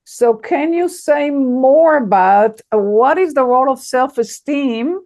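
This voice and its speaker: A woman asks her question over a video call. She speaks carefully, pausing to find the right English words. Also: high-pitched, animated, darkish tone. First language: Hebrew. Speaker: audience member asking a question.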